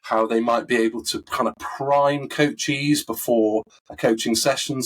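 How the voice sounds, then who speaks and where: A man speaks as an interview guest, his voice husky and a little rough from a recent loss of voice. He talks in a thoughtful, conversational way, pausing on fillers and restarting his phrases as he works through his points. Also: mid-range voice, fairly bright tone, low-quality recording. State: husky from a recovering voice. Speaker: coaching expert guest.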